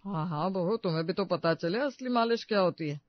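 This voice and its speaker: A man's voice: high-pitched, lively intonation, brisk, dry recording, lo-fi sound. A man voicing a kindly, playful older father-in-law in a cartoon moral story. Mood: sly. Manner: encouraging, playful.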